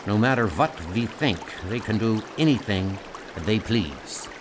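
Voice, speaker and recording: A man's voice: lowish voice, expressive, measured pace, noisy background. A man voicing a wary, resigned starship commander in a comic audio drama, blunt and sober.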